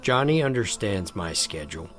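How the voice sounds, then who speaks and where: A man's voice: lowish voice, sing-song, normal speed, fairly bright tone, faint music behind. A man playing a stern, emotionally distant general and father in an audio drama, with a clipped, commanding manner. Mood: businesslike.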